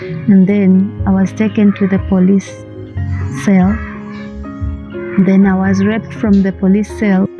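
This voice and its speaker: A woman's voice: mid-range voice, flat delivery, takes it slowly, pauses often, music in the background. A woman from Uganda giving a plain, matter-of-fact first-person testimony for a video. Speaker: refugee telling her story. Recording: muffled video audio.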